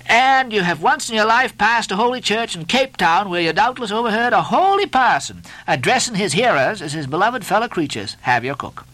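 Guy doing a pushy teasing sailor boss for a storybook, high bright voice, super theatrical, badgering. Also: big pitch swings, normal speed, few pauses, no room sound, heavily acted, rough recording. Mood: mock-solemn. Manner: badgering, theatrical, nautical commands and mock-solemn questions. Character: bossy, mocking male ship's officer at supper.

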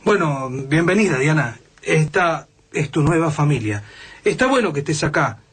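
Excitable survivor, highish voice, very husky, Argentine accent, anxious, radio drama. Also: male voice, normal speed, soft background music, heavily acted. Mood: eager, welcoming. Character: Lucas, excitable, anxious, eager-to-welcome male survivor.

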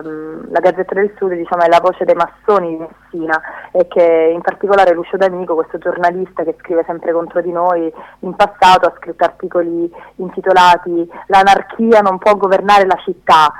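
Brief: female activist guest on a radio phone-in, emphatic and halting, heard down a telephone line. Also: on the low side, steady tone. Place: remote call to a radio studio.

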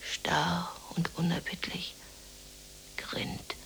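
An actress playing a lonely, depressed, dreamy woman in a radio play monologue, musing haltingly to herself in a breathy half-whisper. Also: fairly low pitch, flat delivery, fairly bright tone, exaggerated voice. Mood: dazed, brooding.

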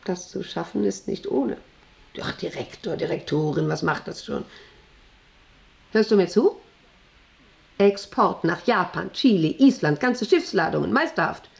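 A woman's voice: fairly low pitch, lively intonation, unhurried, gravelly, pauses often, darkish tone, exaggerated voice. An actress playing a proud, middle-aged female factory director in a radio play, rambling on in a condescending, self-important way and often seeking agreement. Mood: boastful, demanding. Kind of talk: radio play monologue to an employee.

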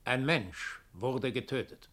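A mid-pitched ominous doppelganger in a 1960s German radio play. He is solemn and implacable, declaiming each sentence with measured weight. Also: fairly bright tone. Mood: calmly ominous. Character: ominous, implacable male doppelganger, a self-confessed murderer.

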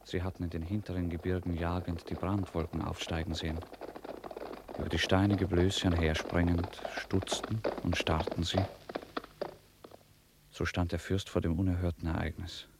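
Male narrator, low pitch, measured, formal, literary radio drama, faint background noise. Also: level tone.

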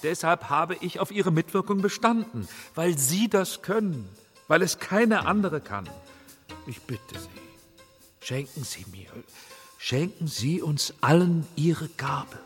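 An obsessive film director in a radio play. His voice is fairly high, hard-edged and bright, pressing every point with imperious emphasis. It rings in a long hall reverb with music underneath. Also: lively intonation, takes it slowly. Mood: pleading, intense. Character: obsessive, commanding film director, persuasive and ruthless. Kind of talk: German radio drama acting.

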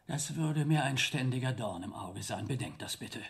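A man's voice: medium pitch, lively intonation, moderate pace, vocal fry. An older actor playing a stern, proud abbot in a radio drama, formal, pompous and indignant about his authority. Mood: worried, indignant.

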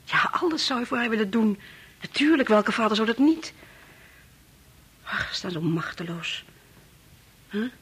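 A woman's voice: fairly high pitch, lively intonation, moderate pace, pauses often, a bit bright, slightly rough, heavily acted. An actress playing an anxious, kindly wife and mother in a Dutch radio play, chatty and a little hesitant. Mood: worried, wistful.

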